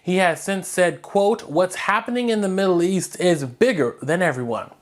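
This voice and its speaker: An American news anchor delivers a scripted bulletin. The voice sits at a medium-high pitch between typical male and female ranges, reading headlines and short stories in a broadcast narration style. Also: normal speed.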